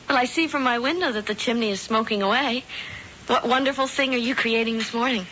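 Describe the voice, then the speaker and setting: A woman's voice: on the high side, fairly quick, bright, forceful tone, slight background noise. An actress playing a naive young woman in a 1970s radio drama, polite and prone to stammering when flustered. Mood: earnest. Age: young adult. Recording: dull old radio broadcast with music.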